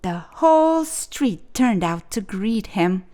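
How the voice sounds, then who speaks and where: A woman reading a children's picture book aloud as a storyteller, with expressive, clearly phrased narration. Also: mid-range voice, takes it slowly.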